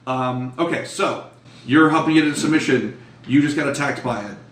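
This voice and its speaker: A man's voice: mid-range voice, brisk, a bit bright. Male game master, informal and sweary, improvising scenes for players, recorded a little off-mic in a live room. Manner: profane, informal. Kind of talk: improvised tabletop game narration, casual chat. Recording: roomy echo, slightly distant mic.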